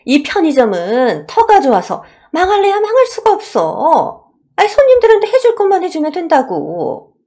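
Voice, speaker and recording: A woman's voice: sits high, wildly swooping pitch, moderate pace, dark tone, voice pushed up, heavily acted. A woman voicing a greedy, bossy middle-aged mother-in-law in a radio-style story, nagging and condescending. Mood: indignant, scornful.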